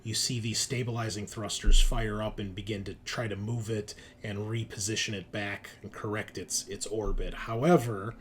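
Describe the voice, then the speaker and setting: A man's voice: fairly low pitch, little pitch movement, normal speed, few pauses, a little hiss or hum. A man narrating a tabletop roleplaying game as game master, setting scenes and calling for rolls.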